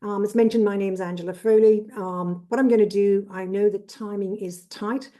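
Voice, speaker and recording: A woman in her fifties with an Irish accent, giving a brisk, businesslike webinar presentation as a trade consultant over a video call. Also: medium pitch, steady tone, warm tone. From Ireland. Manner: brisk and businesslike, lists with "et cetera".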